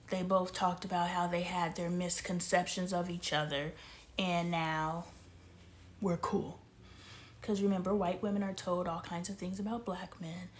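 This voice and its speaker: A woman's voice: on the low side, darkish tone, a little hiss or hum. A woman from El Paso, Texas, giving a casual spiritual commentary monologue. She talks passionately, asks rhetorical questions, and sometimes drops to a near-whisper. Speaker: vlogger.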